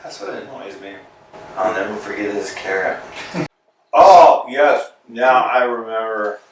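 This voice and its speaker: An actor playing a weary, hungry male tramp in a staged play reading, bickering theatrically and swinging from loud to soft. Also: on the low side, unhurried, lightly acted. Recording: echoey room, from a distance, faint noise.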